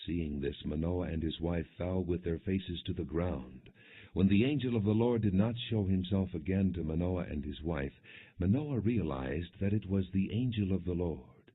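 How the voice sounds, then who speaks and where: A man narrating scripture as a scripted audiobook, with a measured, expressive delivery on slightly muffled audio. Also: sits low, slightly rough.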